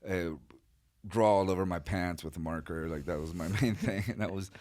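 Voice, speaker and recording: A middle-aged man from Chile, a guest artist telling his life story in a relaxed, rambling way with many fillers. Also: low voice, moderate pace. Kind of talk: casual interview storytelling.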